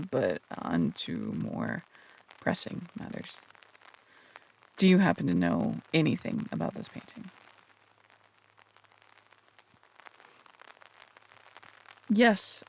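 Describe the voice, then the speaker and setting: Someone doing a flirty phantom thief for roleplay audio, super creaky n breathy, teasing, coy, bouncy pitch. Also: a little mellow, full character voice, low-quality recording. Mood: earnest, pressing. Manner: teasing, coy, casual with hesitant turns. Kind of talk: roleplay audio drama, intimate one-sided dialogue.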